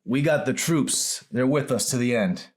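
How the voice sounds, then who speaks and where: A man who is an author, reading his own novel aloud in an expressive storytelling style. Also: medium pitch, normal speed. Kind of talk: expressive audiobook-style narration.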